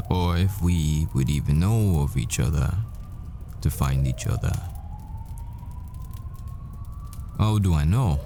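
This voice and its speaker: A man plays a yearning, devoted boyfriend in an intimate roleplay. His voice is very low and mellow, halting and tender, as if every line were a confession. He takes his time over faint music. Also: vocal fry, slight background noise, heavily acted.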